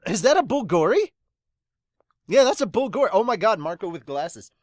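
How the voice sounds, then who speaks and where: Animated, excitable podcast host with a dry sound. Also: mid-range voice, normal speed, no echo. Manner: excitable, exclamatory. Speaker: host of a reaction and dubbing podcast. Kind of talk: casual vlog chat.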